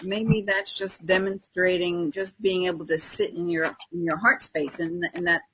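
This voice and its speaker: A woman co-hosting a podcast in casual conversation, speaking in a tentative, reflective and halting way. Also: fairly low pitch, little pitch movement, a bit bright, a little hiss or hum, poor audio. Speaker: podcast co-host. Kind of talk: casual spiritual chat.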